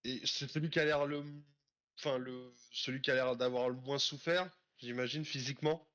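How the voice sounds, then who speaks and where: A man playing a tabletop role-playing game, casually describing his actions in a tentative, halting way. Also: mid-range voice, fairly even intonation, a bit bright.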